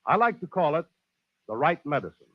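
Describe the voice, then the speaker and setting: An actor playing a genial, worldly adventurer who hosts and narrates stories in a 1940s radio style. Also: male voice, fairly high pitch, sing-song, fairly quick, no room sound. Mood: dramatic, genial. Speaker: radio show host and narrator. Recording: dull old radio broadcast recording.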